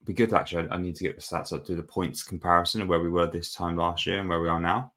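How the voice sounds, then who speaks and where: A man's voice: deep voice, fairly even intonation, fast, a bit bright. A man co-hosting a football podcast, chatting casually and rambling with fillers and restarts. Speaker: podcast co-host.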